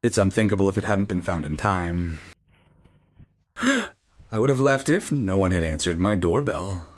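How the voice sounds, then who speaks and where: An actor playing a loyal, steady family friend in a crime radio play. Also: male voice, low voice, a little slow, dry recording. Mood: matter-of-fact.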